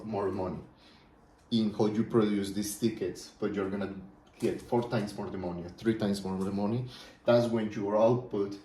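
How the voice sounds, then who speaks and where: A man's voice: on the low side, warm tone, slight background noise. A man from Colombia, a native Spanish speaker with a Colombian accent, talking through his business plans in a rambling, casual monologue and often checking in with "right?". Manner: rambling, keeps asking "right?". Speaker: small business owner vlogger. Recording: roomy echo.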